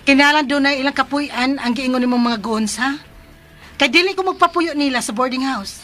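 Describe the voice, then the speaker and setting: Radio drama schemer: young Cebuano woman, high-pitched, sharp, deceitful and determined. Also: normal speed. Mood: scheming, impatient. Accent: Filipino, Cebuano. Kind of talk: Philippine radio drama acting.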